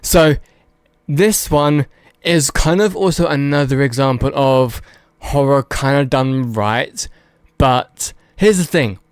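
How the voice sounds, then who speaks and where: A man's voice: mid-range voice, slow pace, smooth, dry recording, clean studio sound. A man giving casual, unscripted video commentary, rambling and hedging as he goes and repeating words for emphasis. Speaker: video host.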